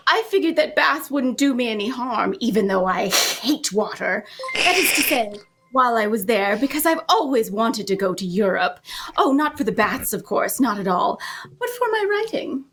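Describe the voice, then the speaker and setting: A woman's voice: a little high, big pitch swings, moderate pace, noisy background. A woman voicing a chatty, flustered, prim young lady cat in a gushing, theatrical, rambling way.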